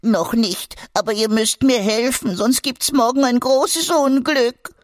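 A man voicing a small, anxious creature with long spoon ears in a children's audiobook, in a high squeaky voice. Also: a little slow, dry recording, clean studio sound. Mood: pleading. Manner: high squeaky, whiny then gleeful. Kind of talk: audiobook character voice.

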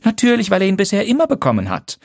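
A man reading a wife's lines, voicing a slender, energetic woman who speaks dryly and defiantly. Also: fairly high pitch, fairly quick, slightly rough, dry recording, pitched above the performer's own voice, exaggerated voice. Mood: scornful. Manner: dry, biting, defiant. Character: energetic slender sharp-tongued wife.

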